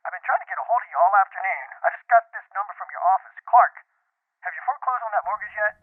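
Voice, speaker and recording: A man's voice: sits high, animated, quick pace. A man playing a fretful, fussy businessman over a telephone in an amateur radio drama. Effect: telephone filter. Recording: thin tinny telephone line sound.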